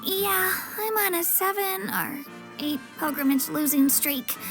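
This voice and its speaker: A woman's voice: very high voice, unhurried. A woman voicing a small, earnest young girl, childlike and sincere, in a video game. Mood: anxious. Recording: music behind the voice.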